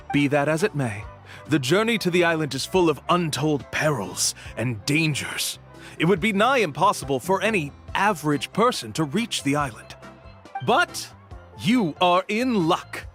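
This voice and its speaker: Slick game scammer guide, highish voice, salesy and flowery, really swoopy, faint music. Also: male voice, a bit bright. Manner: florid, salesmanlike, persuasive. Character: smooth-talking male scammer posing as a tour guide. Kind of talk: animated video game dialogue.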